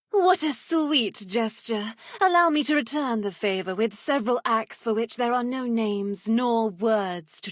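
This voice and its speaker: A woman's voice: mid-range voice, no room sound, studio quality. A woman voicing a flirtatious, macabre witch teacher in a video game, speaking in a sultry, arch, teasing and mock-formal way. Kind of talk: theatrical video game character voice acting.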